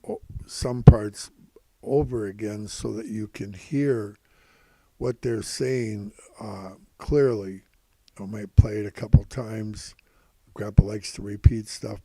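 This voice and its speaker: Slow-talking older man, mellow and halting. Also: on the low side, no room sound. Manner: halting, repetitive, folksy, refers to himself as Grandpa. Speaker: host of stock prediction videos. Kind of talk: informal rambling financial commentary.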